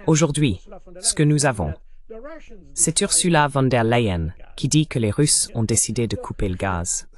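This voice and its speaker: Man in measured French interview voice-over. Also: medium pitch. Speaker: interview guest, military analyst voiced in French translation. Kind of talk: translated voice-over of a political interview.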